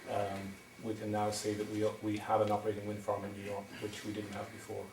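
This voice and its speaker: Hesitant Irish man, low and flat. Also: quick pace, a little hiss or hum. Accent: Irish, County Wexford. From Ireland. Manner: hesitant, many ums and restarts. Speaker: wind energy developer presenting at a town meeting. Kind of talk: public presentation. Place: town meeting hall. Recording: echoey hall recorded from far off.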